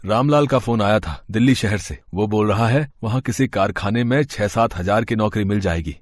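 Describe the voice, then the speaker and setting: A man's voice: fairly low pitch, dry recording. A man playing a poor, weary farm labourer and family man in a moral story, earnest and plaintive. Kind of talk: Hindi moral story voice acting.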